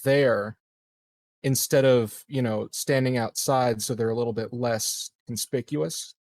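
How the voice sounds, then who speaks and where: A man with a mid-range voice talks through ideas as a player in a tabletop game. He is casual and halting, thinking aloud, recorded very dry. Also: medium pitch, steady tone, darkish tone, dry recording. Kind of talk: casual planning discussion.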